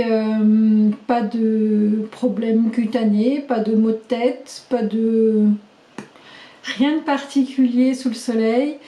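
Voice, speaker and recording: A middle-aged woman recording a casual video diary, chatty and halting with many fillers, her voice slightly hoarse as she loses it. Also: a little high, dark tone. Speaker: vlogger keeping a video diary. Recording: echoey room.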